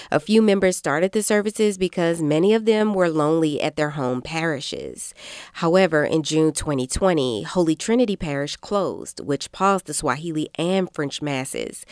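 A woman radio reporter narrating a news feature in a measured, even delivery. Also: sits low, smooth, no echo, studio quality.

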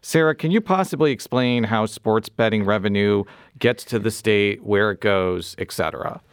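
A man's voice: fairly low pitch, moderate pace, smooth, no room sound. A man hosting a public radio talk show, reading listener questions with a dry, self-deprecating humor.

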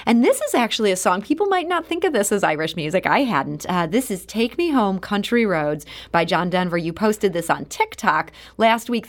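An American woman hosting a conversational radio interview. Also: mid-range voice.

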